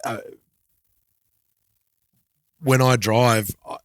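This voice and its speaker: A man co-hosting a podcast, chatting casually and thinking aloud in halting phrases. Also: medium pitch, fairly even intonation, no echo. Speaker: podcast host.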